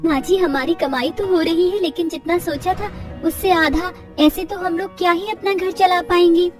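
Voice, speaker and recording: A hardworking, worried cartoon woman of humble means, plaintive and earnest voiced by a woman. Her voice is extremely high and sounds distant from the mic, over music. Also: steady tone, normal speed.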